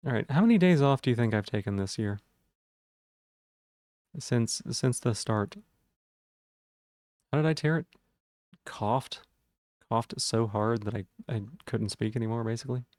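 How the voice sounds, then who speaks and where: Guy streaming w a gravelly sore throat voice, kinda dark mellow tone, dry close sound. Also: mid-range voice, expressive, unhurried, long pauses, dry recording. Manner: rambling, thinks aloud while checking things. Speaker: livestreamer. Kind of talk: casual livestream chat with viewers.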